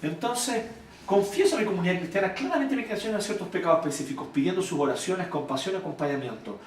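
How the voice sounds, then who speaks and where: A Chilean man teaches a church group in a blunt, animated way, keeping people engaged with questions. His voice sits high and swings widely in pitch. It is heard from a distance in an echoey room with a faint noise behind it. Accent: Chilean Spanish. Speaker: church speaker teaching volunteers. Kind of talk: informal church teaching talk with audience questions.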